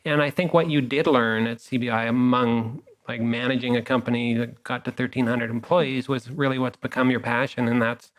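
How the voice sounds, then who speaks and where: Relaxed man hosting an interview. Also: medium pitch, normal speed, few pauses, poor audio. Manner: relaxed and encouraging, with short affirmations. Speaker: interview host. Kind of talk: casual interview questions.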